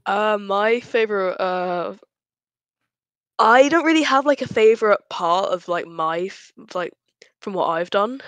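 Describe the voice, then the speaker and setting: Casual podcast guest, mid-pitched voice, very dry recording, hesitant stop-start delivery. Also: expressive, unhurried, fairly bright tone, clean tone, no echo, lo-fi sound. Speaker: podcast panelist and fandub voice actor. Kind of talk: casual chat.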